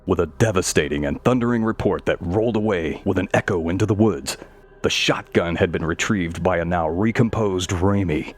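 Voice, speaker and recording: A man narrating a scripted horror story in a measured, dramatic way. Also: low-pitched.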